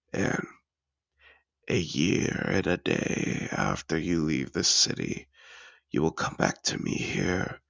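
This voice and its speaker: Fantasy audio drama: male actor as seductive dragon patron, courtly and possessive, unhurried, close dry sound, deep gravelly murmur. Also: pushed and bright, no echo, full character voice. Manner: velvety, deliberate, courtly. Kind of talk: intimate fantasy audio roleplay, slow dramatic delivery.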